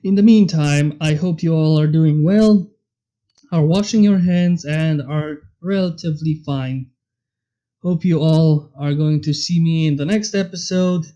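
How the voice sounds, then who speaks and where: A man's voice: a little high, unhurried, warm tone. A man reading fan fiction aloud in a scripted narrating style. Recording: music under the voice. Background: background music.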